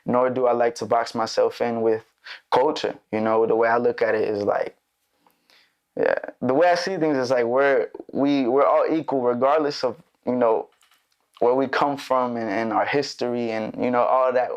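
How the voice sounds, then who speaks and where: A man's voice: medium pitch, moderate pace. A young man born in Mexico and raised in Chicago, a musician guest chatting loosely in a studio interview, full of fillers and restarts. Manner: relaxed, rambling, many fillers and restarts. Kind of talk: casual interview chat.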